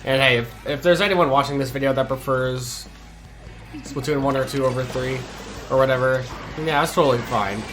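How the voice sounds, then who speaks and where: A man's voice: medium pitch, moderate pace. Brief: male gaming host, congested from a cold, mid-range voice, easygoing filler-heavy chatter, game music under him. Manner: laid-back, hesitant, lots of ums and restarts. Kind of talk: casual gameplay commentary.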